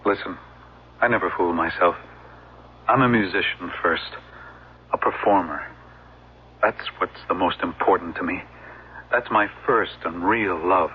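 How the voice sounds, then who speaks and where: A man acting in a radio drama as an ancient, melancholy musician devoted to his art, wistful, hesitant and measured. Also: sits low, slight background noise. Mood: wistful, resolute. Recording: dull, lo-fi radio broadcast.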